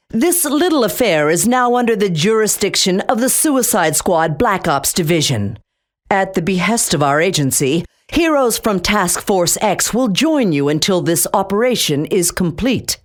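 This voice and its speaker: An actress voicing a stern female government agency director in a video game, blunt and intimidating, giving orders over radio-style briefings. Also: on the low side. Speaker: game character. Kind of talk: game voice lines.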